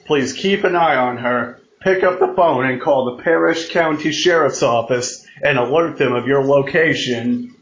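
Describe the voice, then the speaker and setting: Slow, halting man in an echoey room. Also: medium pitch. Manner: halting, repeats and restarts phrases; mimics alert tones with spoken sounds. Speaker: amateur announcer of a mock emergency alert. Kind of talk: improvised mock emergency broadcast announcement. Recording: muffled, echoey room recording.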